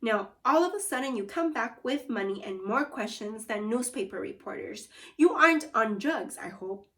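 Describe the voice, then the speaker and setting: A woman's voice: on the high side, lively intonation, normal speed, fairly bright tone. A woman voicing a brusque, wisecracking cafe cook in a storybook, with folksy, clipped, teasing talk. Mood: suspicious, scolding. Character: brusque, wisecracking man who runs a barbecue cafe.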